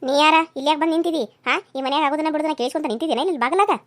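Woman playing a high-voiced spiteful old mother-in-law, North Karnataka accent. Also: rapid-fire, close to an everyday voice. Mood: hostile. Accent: North Karnataka Kannada. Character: spiteful, domineering older mother-in-law, quick to threaten. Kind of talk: family melodrama villain.

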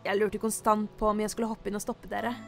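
A woman's voice: medium pitch, level tone, gravelly, fairly bright tone, soft background music. A woman playing a down-to-earth, dryly kind office worker in an anime fan dub. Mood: sincere.

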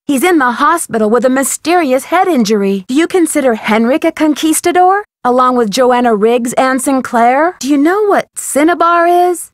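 A young woman playing an inquisitive, polite, persistent amateur detective in a video game, with a crisp, questioning delivery. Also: fairly high pitch, no room sound, clean studio sound. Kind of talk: video game dialogue acting.

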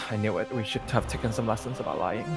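A man's voice: fairly low pitch, fast talker, creaky, music in the background. A man playing an uneasy young time traveler who lies badly, hesitating and stuttering through a game roleplay. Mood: defensive. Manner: hesitant, stuttering, filler-heavy. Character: young man from the future, uneasy, evasive bad liar. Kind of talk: improvised Minecraft roleplay acting.

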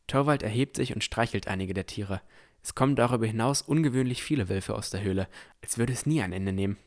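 A man playing a dreamy, curious young villager who narrates a fantasy audio drama in measured tones. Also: lowish voice, lightly acted. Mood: absorbed, watchful. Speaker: narrator and lead character.

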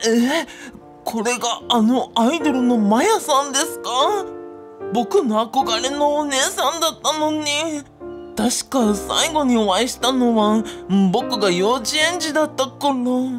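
A woman's voice: high voice, a little slow. A woman playing a fussy, vain, self-pitying young man with polite, quirky speech in a comic radio drama. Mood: dismayed. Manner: polite, whiny, quirky sentence endings. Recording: music playing behind the voice.

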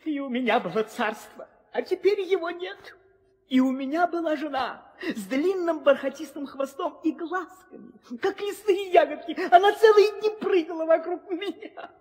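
A man playing a childlike, excitable and forgetful monkey king in an old audio play, full of naive questions and repeats. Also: very high-pitched, normal speed. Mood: tearful, wailing. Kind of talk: 1960s Soviet audio play acting. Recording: old studio record with long reverb.

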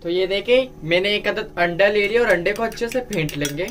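Man, home cook, slightly high voice, instructive recipe narration, some background noise. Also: brisk, fairly bright tone. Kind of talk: cooking tutorial voice-over, step-by-step narration.

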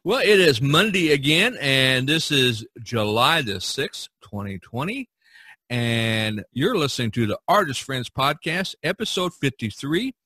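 A man's voice: mid-range voice, lively intonation, a little slow, pushed and bright, breathy edge, no room sound. An American man talking casually as the host of a podcast.

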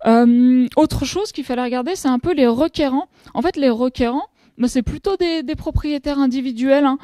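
A woman's voice: a little high, warm tone, dry recording. A woman in her thirties giving a conference talk at a panel table, explaining with frequent hesitations and restarts. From France. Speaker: academic conference speaker. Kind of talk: conference presentation.

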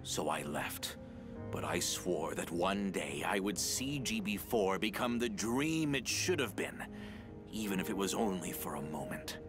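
A man's voice: deep voice, expressive, measured pace, over music. A man voicing a bitter, defeated villain in a video game, grave and theatrical. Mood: bitter, resigned. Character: defeated brooding male villain, bitter former developer. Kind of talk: dramatic video game voice acting.

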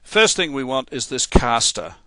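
A man with an Australian accent talking through a software tutorial over his screen, in a casual, halting way with many fillers and restarts. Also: mid-range voice, sing-song, no echo, studio quality. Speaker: software trainer.

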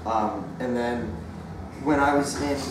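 Man band interviewee, halting speech, recorded far off with heavy room noise. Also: mid-range voice. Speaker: band member. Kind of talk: casual interview chat. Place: backstage lounge of a music venue. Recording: echoey room from far off, noisy.

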